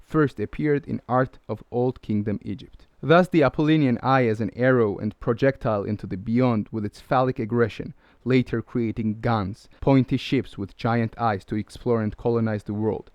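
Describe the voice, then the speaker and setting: A man's voice: medium pitch, fairly even intonation, a little mellow, dry recording, muffled recording. Man reading a lecture. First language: Georgian. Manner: measured, lecturing. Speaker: video essay narrator. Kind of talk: scripted narration.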